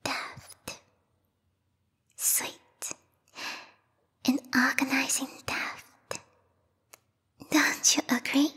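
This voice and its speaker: A woman voicing a bloodthirsty, refined bat girl royal guard in a whispered ASMR roleplay, prim and courtly with quiet menace. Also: high-pitched, little pitch movement, extremely slow, long pauses, in a large hall, heavily acted. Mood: indignant, fervent. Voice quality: breathy, whispery. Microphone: close binaural microphone.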